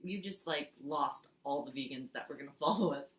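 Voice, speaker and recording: A young woman from Switzerland speaks English, her voice bright and fairly low for a woman. She jokes, giggles and fills her pauses with "um", recorded in an echoey small room at home. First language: Swiss German. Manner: giggly, jokey, fills gaps with "um". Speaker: contestant. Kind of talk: casual audition tape.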